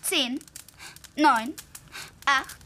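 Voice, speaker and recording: A woman's voice: sits high, very slow, sharp tone, a little hiss or hum, full character voice. A woman playing a whimsical, provocative grown daughter in a surreal radio drama, teasing and theatrical. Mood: teasing, excited.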